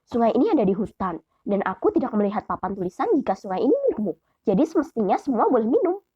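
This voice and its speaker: A woman's voice: a little high, very animated, fast, mellow, husky, dry recording, highs cut off. A woman voicing a small, clever mouse deer in a children's fable, bold and reasoning in manner. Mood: bold, teasing. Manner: plucky, challenging, reasoning.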